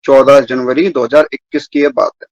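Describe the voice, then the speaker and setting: A man's voice: mid-range voice, steady tone, brisk, a little mellow, dry recording, lo-fi sound. A man from New Delhi in his thirties, telling his personal story earnestly and at length as a guest on a video call. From New Delhi, India. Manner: earnest, rambling, mixes English words into speech.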